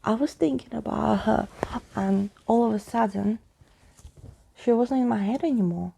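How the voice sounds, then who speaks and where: A woman's voice: on the high side, moderate pace, vocal fry, mellow, a little hiss or hum, pitched above the performer's own voice, poor audio. A woman voicing a timid, bewildered young boy in a storybook, speaking hesitantly. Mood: earnest. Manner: hesitant, stammering.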